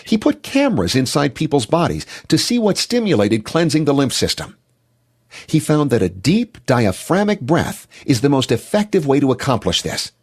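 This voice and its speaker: A man's voice: fairly high pitch, big pitch swings, moderate pace, a little mellow, slightly rough. A man giving a motivational health talk in an emphatic, declarative manner.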